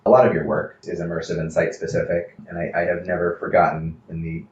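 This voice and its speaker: A man with a deep voice asks questions as an interview co-host. His phrasing is measured and a bit hesitant. The recording is echoey and slightly dull. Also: level tone, a little mellow. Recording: echoey, slightly dull room with faint noise.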